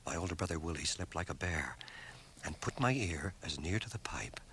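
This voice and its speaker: An actor playing a grave man recounting his village boyhood in precise, measured radio narration. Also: low voice, expressive, fairly bright tone, slight background noise. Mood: reflective, precise. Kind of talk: scripted radio drama narration. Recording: old cassette transfer of radio drama.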